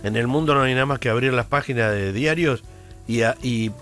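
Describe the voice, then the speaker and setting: A man with a Rioplatense Argentine accent chats on a radio show, spinning nostalgic, jokey stories and trailing off mid-phrase. His pitch sits in an ordinary middle range. Soft music plays faintly behind him in the studio. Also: a little fast. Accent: Rioplatense Argentine Spanish. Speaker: radio co-host. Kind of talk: casual radio banter.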